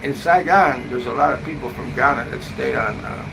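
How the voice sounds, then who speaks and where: Casting: male vlogger, creaky voice, blunt and sweary, loose casual rambling, recorded in a noisy room. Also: medium pitch, normal speed. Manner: blunt, profane, slangy, often ending phrases with "man". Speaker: travel vlogger giving a live update. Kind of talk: rambling casual live-stream chat. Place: hotel room, indoors. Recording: noisy room with steady background hum.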